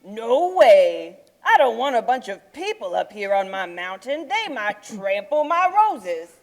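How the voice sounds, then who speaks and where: Lady doing anxious puppet yeti, slow n gravelly, very expressive, echoey hall. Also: fairly high pitch. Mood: worried, grumbly. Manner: exaggerated, expressive. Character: anxious, fussy yeti who loves his roses and solitude. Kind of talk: children's puppet show acting.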